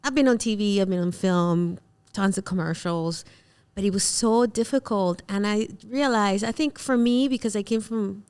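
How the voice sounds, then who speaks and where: A woman's voice: mid-range voice, normal speed, darkish tone, smooth. A woman from Venezuela, a native Spanish speaker, hosting a casual panel and telling personal stories emphatically. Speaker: panel host, actress.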